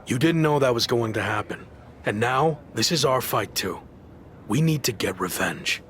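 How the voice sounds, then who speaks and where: A man's voice: mid-range voice, expressive, normal speed, fairly bright tone, a little hiss or hum, close to an everyday voice. A man playing an earnest underdog pro wrestler, a former big-league talent, in a scripted video game cutscene. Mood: determined.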